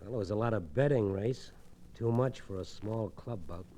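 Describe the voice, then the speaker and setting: A man acts a troubled, working-class fight manager in a vintage radio mystery. His dark, soft-edged voice is subdued and halting, with light hiss from the old recording. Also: on the low side, natural voice. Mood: uneasy. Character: worried, downcast male boxing manager, working-class. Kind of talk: 1940s radio acting.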